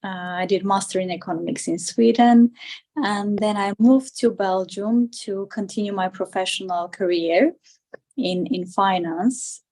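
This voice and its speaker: A woman at a middling pitch. She answers as an interview guest in a conversational way. Her delivery is halting, as she repeats and rephrases words while she builds her sentences. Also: mellow. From Armenia. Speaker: interview guest, political candidate.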